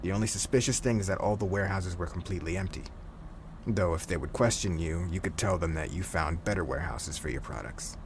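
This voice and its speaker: A man acting as a calm, loyal crime boss's henchman in an audio roleplay, serious and matter-of-fact. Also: low-pitched, fast talker, noisy background, lightly acted.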